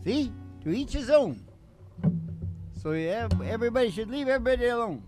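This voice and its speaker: A man's voice: very high voice, animated, a little fast. A man hosting a country music and comedy show, with folksy, playful, rambling banter and acoustic country singing. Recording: live guitar accompaniment, loud music. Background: acoustic guitar.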